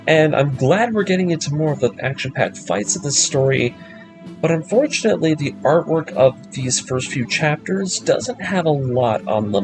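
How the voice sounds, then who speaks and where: Man, medium pitch, recap host, scripted narration with casual commentary, music playing behind the voice. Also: poor audio. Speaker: host of an anime story breakdown series.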